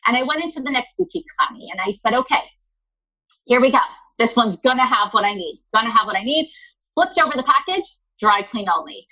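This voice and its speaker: A woman's voice: on the high side, expressive, a little fast, sharp tone, dull audio. A woman who runs a linen store, chatting in a podcast interview and often calling the listener honey. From Los Angeles area.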